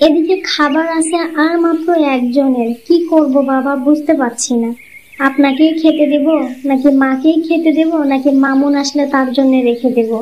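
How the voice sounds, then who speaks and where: A woman's voice: high-pitched, a little mellow, lightly acted. A woman voicing a bossy, scheming married woman in a cartoon family folktale, with an insistent, wheedling way of talking. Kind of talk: cartoon fairy-tale voice acting. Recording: muffled lo-fi audio, clear background noise.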